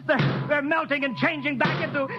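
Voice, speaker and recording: An actor playing a spaceship captain in a 1950s radio drama, speaking in a halting way and repeating his words. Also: very high voice, steady tone, dull audio. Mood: desperate terror. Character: male spaceship captain, trusting, then desperate. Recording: band-limited vintage radio with loud music.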